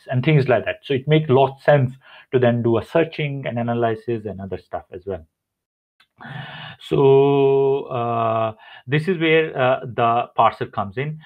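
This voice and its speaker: A man presenting a technical webinar over slides, explaining step by step with many fillers and restarts. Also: medium pitch. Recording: tinny phone-like audio.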